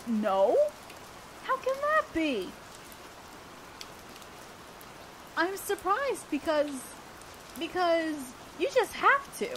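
A young woman playing an awkward, earnest teenage student council president in a romantic audio roleplay, speaking in a shy, halting way. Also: very high-pitched, big pitch swings, a bit bright, slight background noise, exaggerated voice, low-quality recording. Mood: flustered.

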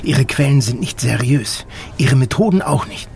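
An actor playing a guarded, stern federal intelligence officer in an audio drama, terse and controlled. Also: male voice, medium pitch, expressive, moderate pace, creaky, a little mellow, a little hiss or hum. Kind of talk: naturalistic German audio drama acting.

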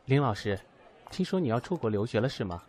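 A man's voice: mid-range voice, big pitch swings, fast, a little mellow, a little hiss or hum, close to an everyday voice. A man playing a guarded, cold young man in an amateur audio drama, speaking in reserved, hesitant, clipped replies.

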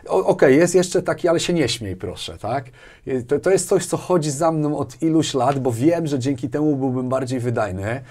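A man's voice: mid-range voice, lively intonation, normal speed, dark tone. A man in his forties talking conversationally as an interview guest, thinking aloud with hesitations and repeated words. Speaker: interview guest, business manager. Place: small furnished indoor studio. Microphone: lapel mic.

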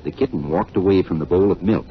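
A man's voice: low-pitched, fast, over loud music. A man giving theatrical scripted narration in the style of 1940s children's radio storytelling. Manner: theatrical, expressive. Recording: dull vintage 1940s radio recording. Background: musical accompaniment.

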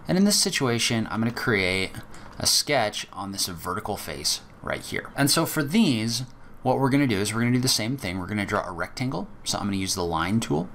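A man teaching a software tutorial, explaining each step methodically in a casual way with occasional fillers. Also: lowish voice, big pitch swings, a little fast, gravelly, a little hiss or hum. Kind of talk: screen-recorded software walkthrough.

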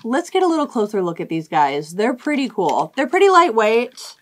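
A woman's voice: a little high, very animated, normal speed, a bit bright. Informal woman vlogger with faint background noise. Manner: enthusiastic, informal, slangy. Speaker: hobby video host. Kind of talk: casual vlog chat to camera. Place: small indoor hobby studio room.

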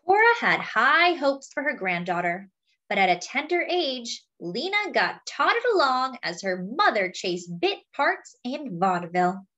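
A woman reading a children's picture book aloud as a story-time host, with deliberate phrasing for young listeners. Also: fairly high pitch, sing-song, bright, forceful tone, muffled recording.

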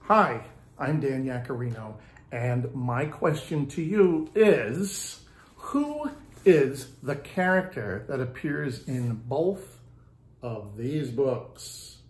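Slow, animated man in echoey room. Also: mid-range voice. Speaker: children's author. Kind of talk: trivia question to camera.

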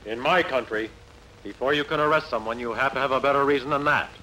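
Noisy film dub, man acting resolute young strongman hero, plain-spoken, medium pitch. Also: fast, pushed and bright, lightly acted. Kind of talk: dubbed costume-adventure film acting. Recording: noisy old film soundtrack.

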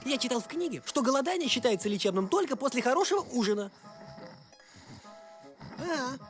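A man voicing a cheeky, self-important talking horse in an animated film, chatty and whining like a know-it-all. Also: extremely high pitch, full character voice. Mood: whining, pedantic.

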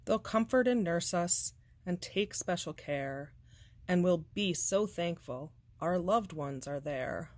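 A woman reading a children's picture book aloud with deliberate, expressive, measured phrasing. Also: lowish voice, no room sound. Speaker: storybook reader.

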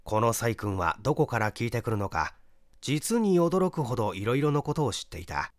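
A man reading a mystery story aloud as a theatrical, deliberate scripted narration. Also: medium pitch, big pitch swings, no room sound, clean studio sound. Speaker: audiobook reader, stage actor.

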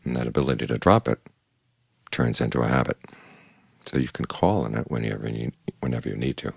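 An older man, a Buddhist monk, giving a calm, measured evening meditation talk in plain words. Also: rumbling low, gravelly, slightly rough, dry recording.

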